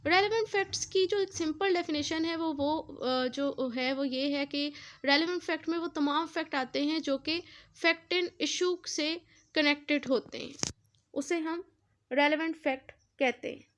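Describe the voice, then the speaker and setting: A woman's voice: high voice, normal speed, fairly bright tone. A woman with a Pakistani accent giving an explanatory law lecture, repeating and rephrasing in a didactic way and mixing in English terms.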